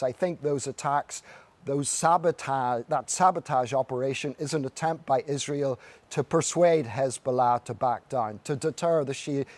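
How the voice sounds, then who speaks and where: Male TV correspondent, mid pitch, measured and emphatic live analysis, very dry close sound. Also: darkish tone, dry recording. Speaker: news correspondent reporting live.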